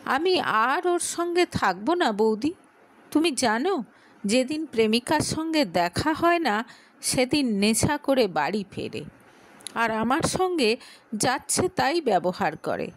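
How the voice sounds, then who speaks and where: A woman acts out a young estranged wife in a story drama. She is wounded and quick-tempered, speaking with aggrieved emotion in a high, very changeable pitch. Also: sing-song, no echo. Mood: hurt, resolute.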